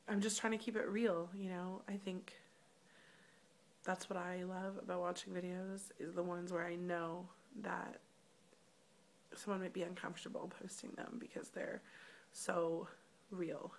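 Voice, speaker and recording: A woman in her thirties speaking candidly in a casual personal vlog, her voice slightly rough, with many ums. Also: mid-range voice, a little hiss or hum. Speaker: vlogger. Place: hotel room.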